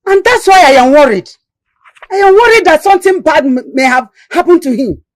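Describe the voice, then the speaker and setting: Anxious, high-voiced Nigerian queen. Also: lively intonation, fairly quick. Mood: worried, pleading. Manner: pleading, exclamatory. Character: anxious, pleading queen, a worried mother. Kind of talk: Nollywood royal drama acting.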